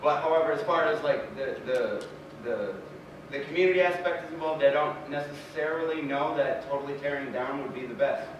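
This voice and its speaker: A man with a fairly high voice rambles through an informal public comment, often seeking agreement. He sounds far from the microphone in a very reverberant hall with clear noise. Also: brisk. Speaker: audience member. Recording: very echoey theatre, distant, noisy.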